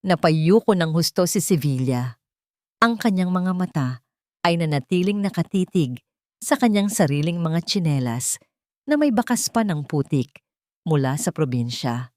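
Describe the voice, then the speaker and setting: A woman narrating a scripted dramatic story in a solemn, deliberate, melodramatic way. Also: fairly low pitch, lively intonation, darkish tone, dry recording, studio quality.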